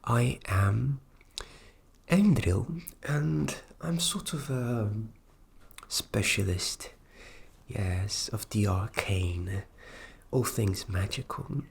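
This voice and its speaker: A performer voicing a flustered, kindly fairy magic specialist in a fantasy ASMR roleplay, speaking softly and hesitantly, often dropping into a whisper. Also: mid-range voice, vocal fry, a little hiss or hum. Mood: curious, puzzled. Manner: hesitant, halting, repeats words, often whispering.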